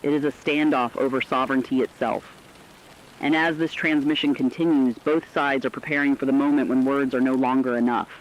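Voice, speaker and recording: A man playing a serious news correspondent, giving scripted emergency analysis in a deliberate, foreboding way. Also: medium pitch, steady tone, natural voice. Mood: sober, foreboding. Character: sober news correspondent analysing a looming war. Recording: dull lo-fi radio sound, faint noise.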